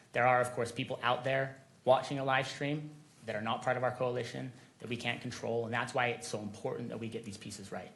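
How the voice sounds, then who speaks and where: A man speaking as an attorney in court, making a measured, deliberate formal argument. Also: medium pitch, a bit bright. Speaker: attorney for a media coalition.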